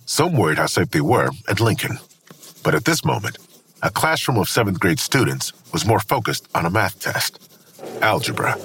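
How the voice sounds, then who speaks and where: The narrator of a crime audio drama. His voice sits very low with a strongly husky grain. He tells the story gravely but with lively melody. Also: heavily acted.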